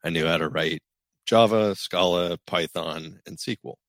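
A middle-aged man, co-host of a podcast, with a deep voice, talking in a rambling, self-deprecating way with many restarts. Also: normal speed, fairly bright tone, no echo. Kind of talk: casual podcast chat.

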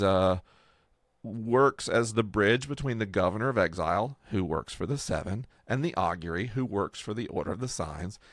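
A man's voice: on the low side, vocal fry, dry recording, clean studio sound. A man explaining a fictional world in a casual, enthusiastic discussion as a host and creator.

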